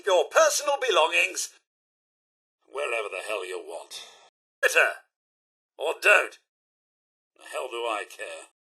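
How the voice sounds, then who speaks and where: An actor playing a fussy, servile robot butler in a video game, with a British accent, a raspy voice and pompous, overly formal manners. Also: male voice, mid-range voice, wildly swooping pitch, unhurried, long pauses, sharp tone, full character voice. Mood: sardonic. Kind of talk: theatrical video game character lines.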